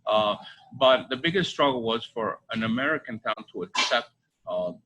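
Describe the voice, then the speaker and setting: A middle-aged man from Bolivia answering interview questions conversationally, halting and repeating his phrases. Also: mid-range voice, animated, pushed and bright, poor audio. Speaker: restaurant co-owner. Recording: faint background ambience noise.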